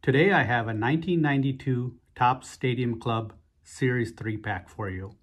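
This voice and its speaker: A man's voice: mid-range voice, moderate pace. A man hosting his card-opening channel, narrating casually and unhurriedly with frequent fillers as he opens a pack at a table. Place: indoors at a table, hands only on camera.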